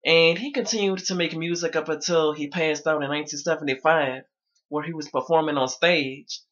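A man's voice: fairly high pitch, fairly even intonation, moderate pace, fairly bright tone, dull audio. A young man talking casually to his viewers from his bedroom, his voice a little strained. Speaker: vlogger. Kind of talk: casual talk to camera. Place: small bedroom indoors.

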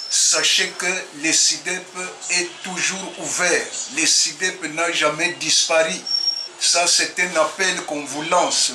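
A man in his sixties with a Congolese French accent, a technical coordinator making an insistent appeal to the public from a small office. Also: a little high. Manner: insistent, exhorting. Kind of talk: promotional appeal. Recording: echoey room with noise.

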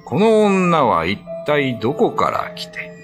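A man's voice: fairly high pitch, huge pitch swings, slow. A man narrating a ghost story in a dramatic radio drama reading. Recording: music under the voice. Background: background music.

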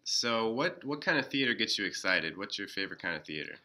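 A young man hosting a casual interview, speaking in halting, hesitant phrases. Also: on the low side, very animated, pushed and bright, rough recording. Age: young adult. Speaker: interview host, playwright. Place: indoors, small hall with cinder-block walls.

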